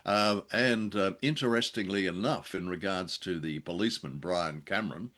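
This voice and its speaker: A man's voice: low voice, normal speed, fairly bright tone. A man co-hosting a show, chatting casually about old entertainment in a hesitant, jokey way. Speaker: podcast co-host. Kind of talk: casual film and radio discussion.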